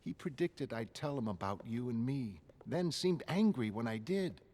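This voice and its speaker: A man voicing a shaken, blunt young woman in a motion comic, halting and emotional. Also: mid-range voice, expressive, darkish tone, lightly acted. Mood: shaken, pleading.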